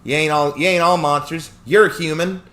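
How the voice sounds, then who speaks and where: A man voicing a hostile young female dragon-girl with a rough Southern country drawl and a snappish, suspicious manner. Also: mid-range voice, normal speed, a bit bright, voice pushed up, close to an everyday voice. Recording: roomy echo, faint noise.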